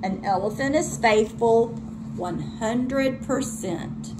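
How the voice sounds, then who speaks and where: Woman as earnest male storybook elephant, faithful, gentle, emphatic, high voice, noisy background. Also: slow pace. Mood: resolute, sad.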